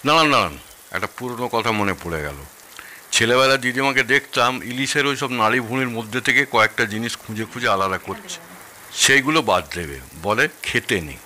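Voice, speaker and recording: A man's voice: mid-range voice, animated, brisk, pushed and bright, slight background noise. A man acting an opinionated, nostalgic middle-aged father-in-law who loves his food, in a theatrical audio-drama style. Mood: reminiscing. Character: grumbling, nostalgic elder Bengali father-in-law, fond of food.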